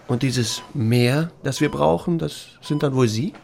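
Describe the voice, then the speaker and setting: A man's voice: medium pitch, unhurried, gravelly, a little mellow. An actor playing an unflappable small-town sheriff who tells his own story in an audio drama, dry, wry and understated. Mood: calm, firm. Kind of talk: first-person narration and dialogue in audio drama.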